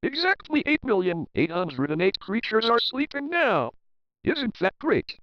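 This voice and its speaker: A man's voice: high voice, very animated, a little slow, no room sound, muffled recording. A man narrating a children's picture book as a readalong, reading rhyming verse expressively and rhythmically. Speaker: storybook narrator.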